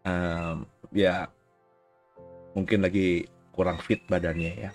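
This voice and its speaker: A man's voice: sits low, level tone, takes it slowly, soft background music. A man preaching a conversational sermon in an informal way, often tagging phrases with short checks, while getting over a cough. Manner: informal, frequent "ya" tags and asides. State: recovering from a cough. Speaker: pastor giving a recorded sermon.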